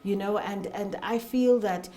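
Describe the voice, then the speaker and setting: A Kenyan woman in her forties, answering interview questions about her run for the Senate in an articulate, measured way. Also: medium pitch, warm tone, a little hiss or hum. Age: 40s. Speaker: Senate aspirant, guest.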